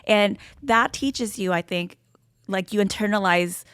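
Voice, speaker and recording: A woman's voice: mid-range voice, unhurried, fairly bright tone, smooth, dry recording, studio quality. A young woman co-hosting a podcast, talking through ideas earnestly and often checking in with "right?". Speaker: podcast co-host. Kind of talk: casual chat.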